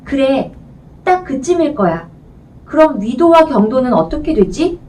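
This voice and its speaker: A woman's voice: high-pitched, very animated, measured pace, darkish tone, far from the mic, voice pushed up. A woman voicing a curious, boastful little girl from a storybook, musing aloud to herself. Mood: wondering.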